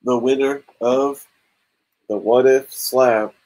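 A man's voice: mid-range voice, steady tone, slow, darkish tone, low-quality recording. A man in his thirties hosting a casual comic-collecting stream from a small room at home, chatting and counting entries aloud into a webcam mic. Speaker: YouTube comic channel host. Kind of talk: casual livestream raffle chat.

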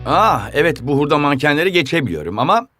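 A man voicing an excitable, insecure young footballer in a cartoon, with an expressive, anxious delivery. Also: a little high, quick pace, music in the background. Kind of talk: cartoon dubbing.

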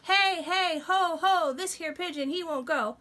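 Woman voicing a shrill, stubborn little pigeon. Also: unhurried, fairly bright tone. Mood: chanting protest. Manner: whiny, wheedling, dramatic. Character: stubborn, pleading little pigeon refusing bedtime. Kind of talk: animated picture-book read-aloud. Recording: some room noise behind.

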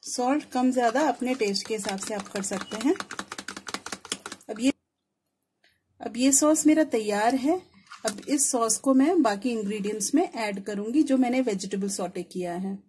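Female home cook, highish voice, instructive tutorial delivery, frying noise in the background. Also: normal speed, warm tone. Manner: instructive, mixes in English words. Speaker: home cook presenting her recipe channel. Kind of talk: step-by-step cooking tutorial voice-over. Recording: clear kitchen noise, frying sizzle. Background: sizzling food and stirring.

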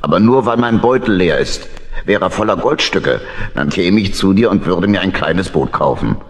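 An actor playing a wistful, homebody old badger uncle in a children's audio play, sighing and grumbling. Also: male voice, deep voice, animated, moderate pace. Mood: wistful, resigned.

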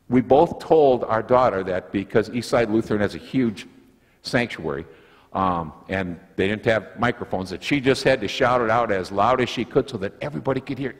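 An older man preaching a sermon as pastor in a church sanctuary, telling stories with folksy asides. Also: on the low side. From United States. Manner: lively storytelling, folksy asides. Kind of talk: sermon with object lesson. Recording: long church reverb, slightly husky sound.

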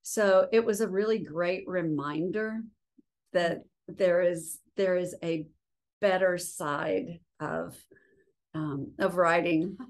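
A woman hosting an interview as a cookbook publisher, asking measured questions over a video call from her living room. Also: lowish voice. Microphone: laptop webcam on video call.

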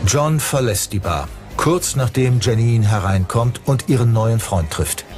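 A man narrates a true-crime documentary in a scripted style. His voice is medium in pitch, and music plays clearly behind him. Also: measured pace.

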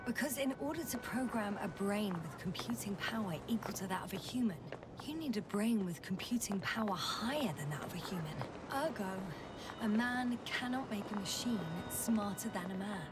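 An actress playing a wry, thoughtful scientist exploring a planet in a video game, speaking in a measured, musing way. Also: female voice, mid-range voice, expressive, over music, close to an everyday voice. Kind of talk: naturalistic video game voice acting.

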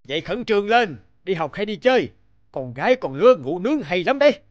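A man playing a stern, hot-tempered middle-aged father in an animated drama, scolding and exasperated. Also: sits high, brisk, no echo, dull audio.

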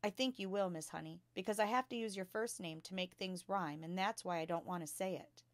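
A woman reading a storybook as a bright, earnest five-year-old schoolgirl, polite, precise and modest. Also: on the low side, brisk, dry recording, lightly acted. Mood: hesitant.

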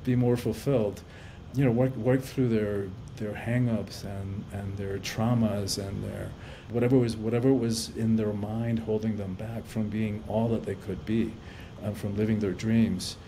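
A man's voice: fairly low pitch, flat delivery, a little slow, hardly any pauses, mellow, slight background noise, poor audio. A man in his fifties from Cuba, a guest on a video-call podcast, talking earnestly and reflectively and often repeating words as he thinks. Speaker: podcast guest, life coach and author. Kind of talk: casual interview conversation. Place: indoor room at home.

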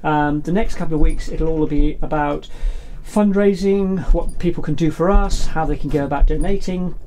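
A man's voice: fairly high pitch, moderate pace, warm tone, low-quality recording. A middle-aged man with an English accent chatting casually and a little hesitantly as he presents a charity vlog. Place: small cluttered storeroom office, indoors. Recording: clear background noise.

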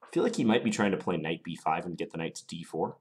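Deep-voiced man calmly analysing chess moves. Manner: calm, measured, analytical. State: has a slight cold. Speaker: chess commentator playing online. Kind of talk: thinking aloud during a chess game.

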